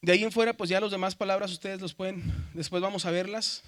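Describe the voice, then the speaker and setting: A man's voice: sits high, fairly even intonation, fast, fairly bright tone. A man from Mexico with a Mexican Spanish accent, teaching a seminary class, who explains step by step, keeps checking with "¿verdad?" and calls his students brothers. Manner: addresses students as "hermanos". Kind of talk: classroom lecture.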